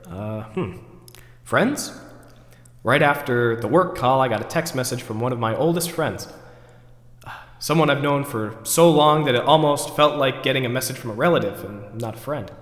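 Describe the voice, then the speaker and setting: A man's voice: medium pitch, fairly bright tone, lightly acted. A man acting out the theatrical internal monologue of an overwrought, neurotic man who questions himself, recorded in an echoey hall. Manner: ranting, then talking himself down. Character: overwrought, neurotic man agonizing over forgotten groceries, self-questioning. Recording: echoey hall with long reverb.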